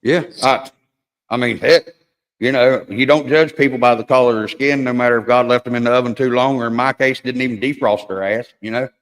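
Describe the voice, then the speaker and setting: A man's voice: mid-range voice, steady tone, brisk, a bit bright. A man hosting a livestream in casual chat, a crude, joking, rambling storyteller. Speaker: livestream host. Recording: long hall reverb.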